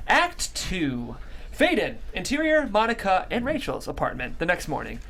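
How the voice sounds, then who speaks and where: Female narrator for script stage directions, animated intonation, faint room noise behind. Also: lowish voice, moderate pace. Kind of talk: scripted narration at table read.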